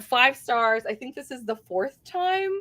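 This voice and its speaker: A woman's voice: high-pitched, lively intonation, measured pace, sharp tone, no room sound, studio quality. A woman co-hosting a book readalong, chatting effusively and casually and repeating herself for emphasis. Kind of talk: enthusiastic casual book chat.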